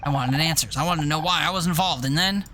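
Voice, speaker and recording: A man's voice: fairly high pitch, fast talker, background noise, close to an everyday voice. A man playing an earnest, determined amateur sleuth, reading a detective visual novel dramatically. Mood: brooding, dramatic.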